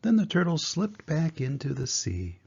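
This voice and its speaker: An older man narrates a children's picture book with gentle, measured delivery. His voice is soft-edged and warm in tone, slightly husky, on a dull lo-fi recording. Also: fairly high pitch, very animated. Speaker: grandfather reading a picture book aloud. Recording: dull, muffled lo-fi sound.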